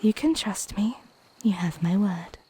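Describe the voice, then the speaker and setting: A woman playing a flirty, teasing mermaid in an intimate ASMR roleplay, coy and playful with breathy half-whispers. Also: medium pitch, lively intonation, a little slow, mellow. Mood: coaxing.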